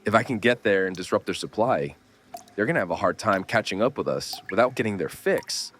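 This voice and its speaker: A man playing a gruff, world-weary survivor who takes charge in an audio horror drama. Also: fairly low pitch, expressive, a little fast, a bit bright, slight background noise. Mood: determined.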